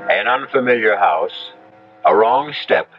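An American man narrating a radio drama as its host, measured and portentous. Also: on the low side, animated, a little hiss or hum. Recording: muffled old radio broadcast.

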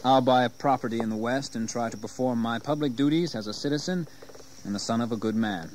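A man acts a young gentleman settler in a historical audio drama. He is proud, ambitious and resolute, with a mid-pitched voice. Faint noise sits on the recording. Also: a little fast, natural voice. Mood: polite, measured. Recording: noisy 1980s audio drama tape.

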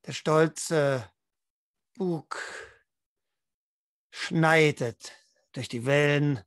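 Higher-pitched man as game master, dry sound. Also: drawn-out words, no room sound. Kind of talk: explaining and narrating a tabletop role-playing game. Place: indoor room at home, online video call.

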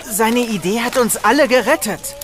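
A man's voice: very high-pitched, moderate pace. A man playing an earnest, determined young prince in a children's audio drama, speaking firmly. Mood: earnest, urgent.

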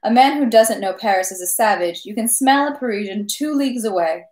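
A woman's voice: medium pitch, normal speed, reverberant, close to an everyday voice. A woman voicing a merry, good-natured French army captain who brags ceaselessly about his battles. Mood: expansive. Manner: lively, talks ceaselessly, good-natured bragging. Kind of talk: audiobook character reading.

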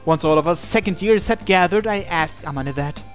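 A man's voice: on the high side, brisk. A man reading visual novel narration and dialogue aloud as a gaming video host. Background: game music.

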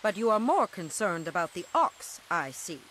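A woman playing a sharp-tongued, indignant wife freed from captors in a video game, with a biting, sarcastic manner. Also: fairly low pitch, very animated, normal speed, a little hiss or hum.

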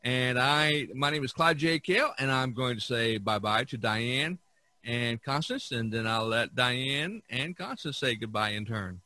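A man's voice: medium pitch, measured pace, sharp tone, no room sound. A man hosting a podcast in a casual, rambling, folksy way. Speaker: podcast host. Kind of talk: casual chat.

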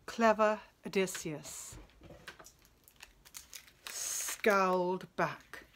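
A middle-aged woman giving a dramatic, expressive literary reading in a measured, often hushed way. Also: medium pitch, slight background noise. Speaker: translator reading own work. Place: small plain room indoors.

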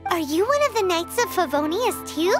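A woman voicing a small, childlike fairy companion in an anime video game, excitable and cheeky, with squeaky, exclamatory delivery. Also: brisk. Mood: curious. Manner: exclamatory, exaggerated cartoon delivery. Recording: game music behind the voice.

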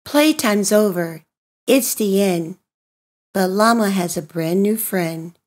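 A woman reading a children's picture book aloud in an expressive, deliberate, sing-song way for young listeners. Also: medium pitch. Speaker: storybook reader and host of a read-aloud channel.

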